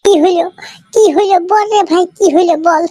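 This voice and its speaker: A performer voicing an excitable, nagging teenage schoolboy in a comic cartoon, with insistent rustic slang and a Purulia accent from West Bengal. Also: very high voice, moderate pace. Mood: excited.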